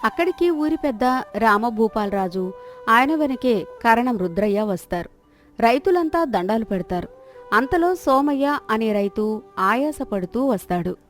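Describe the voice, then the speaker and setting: A woman reads scripted story narration in a fairly high voice. Music plays underneath as she sets each scene. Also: lively intonation, a little slow. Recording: music behind the voice.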